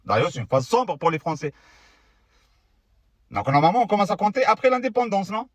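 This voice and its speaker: A man's voice: high voice, very animated, brisk, no echo. A man giving casual, argumentative history commentary to camera, sarcastic and full of rhetorical questions. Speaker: video creator.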